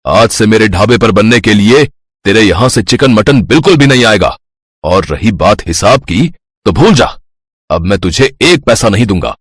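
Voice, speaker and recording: A man's voice: on the low side, lively intonation, normal speed, slightly rough, dry recording, clean studio sound, voice pushed down. A man voicing an arrogant, bullying rich eatery owner in a cartoon story, scornful and domineering. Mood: angry. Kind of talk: Hindi cartoon story dialogue.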